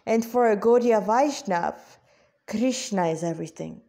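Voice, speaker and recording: A woman reading the part of a serene, pious devotee in a story, measured and earnest. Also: medium pitch, mellow, close to an everyday voice. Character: serene, pious devotee, humble and gently teasing.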